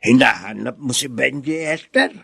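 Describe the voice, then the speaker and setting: An actor playing a kindly, calm older male neighbour with a gravelly voice in a radio drama. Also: mid-range voice, moderate pace, no room sound, clean studio sound, faint music behind, full character voice. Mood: calm, concerned.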